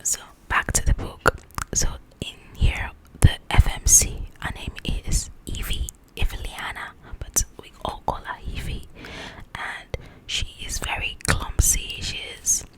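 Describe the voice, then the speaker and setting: A woman hosts ASMR in a soft, breathy whisper with no voiced tone. Her talk is informal and chatty, wandering along as she addresses viewers directly. The delivery stays hushed, like a casual one-sided chat. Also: a little slow, low-quality recording. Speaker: ASMR video host.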